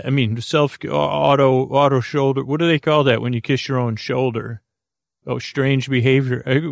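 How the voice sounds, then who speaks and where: Gravelly man rambling through a podcast intro. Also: medium pitch, no echo, clean studio sound. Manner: meandering, self-interrupting, playful deadpan humor. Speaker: podcast host.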